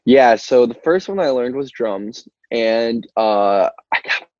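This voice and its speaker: A man's voice: medium pitch, animated, slow pace. A young American man who is a band singer chats casually as an interview guest, rambling with lots of filler words and easy laughter. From Albany, New York, United States. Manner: rambling, peppered with "like" and "you know", laughs easily. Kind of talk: casual chat. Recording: muffled, roomy call-like audio.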